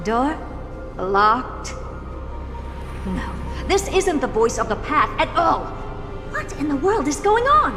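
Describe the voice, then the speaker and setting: A woman's voice: high-pitched, very animated, takes it slowly, long pauses, full character voice. An actress playing a haughty, sardonic genius woman scientist in a video game, condescending, theatrical and commanding. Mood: curious, puzzled. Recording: long hall reverb with music behind.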